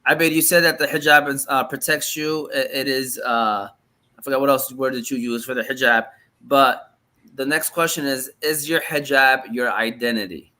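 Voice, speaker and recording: A man's voice: mid-range voice, fairly even intonation, moderate pace, pushed and bright. A man from Michigan hosting a podcast, asking loose, rambling questions in a casual way. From Michigan, United States. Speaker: podcast host.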